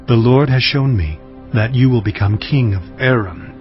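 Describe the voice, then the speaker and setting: A man's voice: mid-range voice, normal speed, muffled recording, faint music behind, close to an everyday voice. A man playing a solemn, foreboding prophet in a dramatized scripture audiobook. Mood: grave, foreboding.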